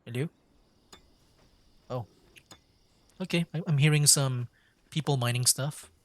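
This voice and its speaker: A man's voice: medium pitch, measured pace, slight background noise. A man streaming a video game with casual, tentative reactive commentary, thinking aloud. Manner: musing, tentative.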